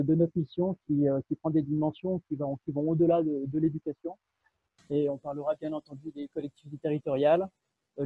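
A man opens a webinar from his office over a video call, stammering over repeated words between fillers. His fairly high voice sounds husky through a thin, phone-like line, with no echo. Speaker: webinar co-presenter. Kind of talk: hesitant webinar presentation.

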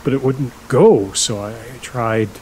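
A man's voice: medium pitch, a little mellow, lightly acted, low-quality recording. A male actor plays an awkward, lonely middle-aged host in an audio drama. His mid-range voice stumbles and repeats as he overshares in an unsettlingly chatty way. Faint noise and ambience sit behind him. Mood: eerily chatty. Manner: halting, repeats himself, oversharing. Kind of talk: naturalistic audio drama acting.

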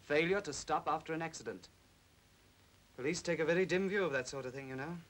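An actor playing a smooth, manipulative upper-class English captain in his thirties in a 1940s British film drama. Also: a little high, quick pace, fairly bright tone, natural voice. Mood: persuasive. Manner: suave, persuasive. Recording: hissy old film soundtrack.

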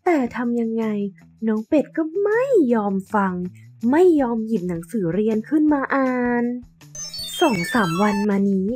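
A woman's voice: fairly high pitch, expressive, moderate pace, darkish tone, husky. A woman narrating a scripted children's story as the storyteller. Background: background music.

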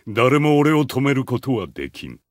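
A man's voice: medium pitch, big pitch swings, normal speed, no echo, studio quality, natural voice. A man voicing a haughty, ruthless video game supervillain with a god complex, contemptuous, menacing and commanding. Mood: cold, haughty. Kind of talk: Japanese fighting game voice acting.

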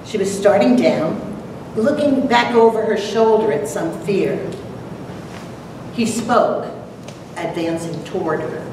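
Slow, deliberate woman in an echoing hall. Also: medium pitch, lively intonation. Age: middle-aged or older. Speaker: presenter, dramatic reader. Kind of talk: prepared public talk and dramatic poetry recital. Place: lecture hall, at a podium. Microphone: far camera. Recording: echoey noisy hall from far off.

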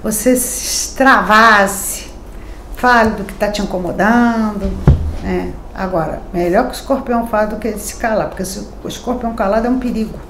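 A middle-aged Brazilian woman reads the day's horoscope from her cards, talking straight to the viewers in a lively, emphatic, chatty way. Her voice sits at an ordinary middle pitch. She is recorded in a small home studio that leaves a clear echo and a faint hum of ambience. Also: moderate pace. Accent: Brazilian Portuguese. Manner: chatty and emphatic, addressing viewers directly with tag questions. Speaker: tarot reader. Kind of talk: daily horoscope card reading to camera. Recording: echoey room with faint ambient noise.

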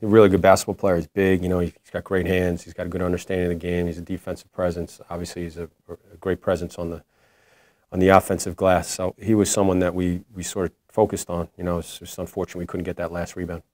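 A man in his forties, a head basketball coach, answering postgame questions in a halting way with many restarts. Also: low-pitched, flat delivery, darkish tone, no echo. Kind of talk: postgame press conference answers. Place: indoor press room before a logo backdrop. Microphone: lapel mic.

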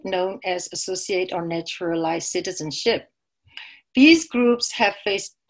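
A woman's voice: medium pitch, low-quality recording. Woman from Myanmar giving a lecture. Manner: measured, with occasional self-corrections. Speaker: keynote lecturer. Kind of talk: academic lecture over slides. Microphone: video call.

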